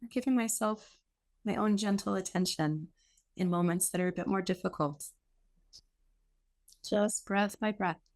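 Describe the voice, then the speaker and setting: A woman meditation teacher giving gentle guided instruction in a soothing, unhurried way with pauses between short phrases. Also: medium pitch.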